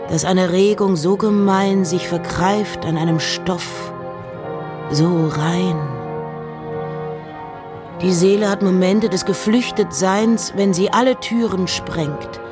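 A woman playing a reflective, intense poet in a slow, intimate dramatic recitation of letters and verse. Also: lowish voice. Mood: dread, then elated. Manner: measured, intimate, often dropping to breathy whispers.

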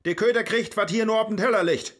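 An actor playing a grumbling, easily exasperated scholar in a rhymed radio play, theatrical, with a Low German accent. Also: male voice, fast, a bit bright. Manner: irritable, theatrical.

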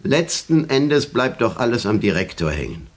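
A man's voice: mid-range voice, big pitch swings, moderate pace. A man acting an older, dignified bank director and father in a German radio play, formal and wounded. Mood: hurt, resigned.

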